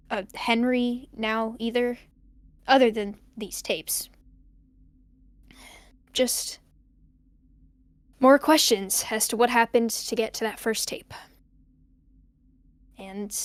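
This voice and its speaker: Slow, halting young student, played by a woman, wistful. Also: on the high side, no room sound. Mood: pensive, uneasy. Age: young adult. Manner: halting, reflective, trailing off. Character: lonely, bookish young student recording tapes, wistful and hesitant. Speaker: actor in an audio drama. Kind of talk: intimate audio diary monologue.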